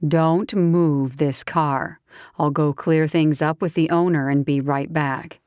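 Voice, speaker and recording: Female narrator playing a calm, stern man, firm and clipped, slow, low voice, dry close sound. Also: clean tone, no echo. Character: stern, protective grown man, gruff and calm.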